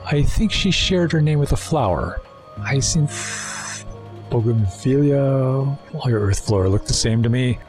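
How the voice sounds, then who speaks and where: A man's voice: medium pitch, lively intonation, measured pace, music in the background, natural voice. An actor playing a sly, talkative male alien time traveller in an audio drama, with a wry, mocking, chatty manner. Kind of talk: full-cast audio drama acting.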